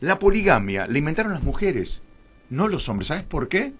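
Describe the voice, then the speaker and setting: A man's voice: on the high side, very animated, normal speed, fairly bright tone, breathy edge. An Argentine man speaking as a radio interview guest, opinionated and didactic, often asking questions and answering them himself. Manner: emphatic, didactic, asks and answers own questions.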